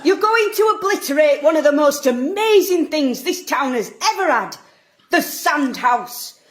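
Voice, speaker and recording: A woman's voice: high voice, expressive, measured pace. A woman playing a passionate, combative middle-aged local campaigner in an audio drama. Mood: indignant, combative. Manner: combative, sarcastic, emphatic. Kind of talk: stage-like audio drama acting.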